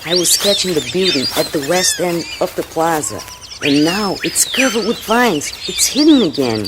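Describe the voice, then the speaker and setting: A woman's voice: fairly low pitch, very noisy, natural voice. An actress playing an eager woman researcher who sketches ruins in a radio play. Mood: thoughtful. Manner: eager, quick to excitement. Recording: loud jungle birdsong behind.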